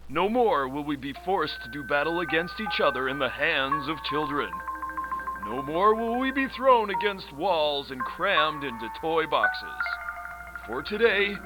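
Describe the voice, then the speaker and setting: A man's voice: a little high, low-quality recording. A man voicing a rebellious toy action figure in an amateur cartoon, declaiming grandly like a superhero bent on conquest. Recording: music behind the voice.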